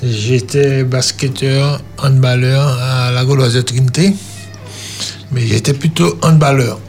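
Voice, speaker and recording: A man in his fifties from Martinique, giving a radio testimony in a slow, measured way with pauses. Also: mid-range voice, fairly even intonation, a little mellow, slight background noise. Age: 50s. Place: radio studio. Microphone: studio microphone held a bit far off.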